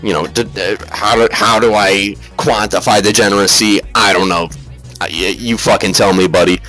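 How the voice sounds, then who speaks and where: A man hosting a casual comedic slideshow review, talking in a halting, rambling, profane, mock-serious way. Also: on the low side, steady tone, bright, forceful tone, faint music behind, rough recording. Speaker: reviewer, video host.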